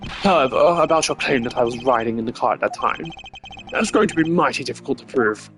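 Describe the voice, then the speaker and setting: Man playing a pompous, booming old villain. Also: expressive, a little fast, faint music behind, lightly acted. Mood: smug, taunting. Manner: pompous, taunting, loud. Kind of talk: video game villain acting.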